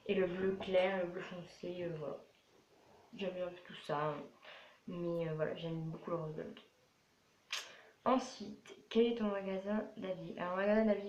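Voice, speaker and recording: A woman's voice: lowish voice, a little mellow. A twelve-year-old girl from France chatting casually in a rambling, repetitive way as she answers questions for a vlog. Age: 12 years old. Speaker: young vlogger. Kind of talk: casual FAQ vlog to camera. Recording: roomy echo, dull sound, faint noise.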